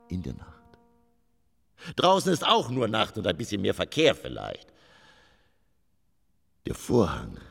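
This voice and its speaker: Eccentric theatre lecturer, radio drama, very low voice, hugely varied delivery, pompous, declamatory, irascible. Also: male voice, creaky, husky, heavily acted. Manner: pompous, declamatory, rambling, swinging from muttering to bellowing. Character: eccentric, irascible male theatre lecturer obsessed with stage curtains.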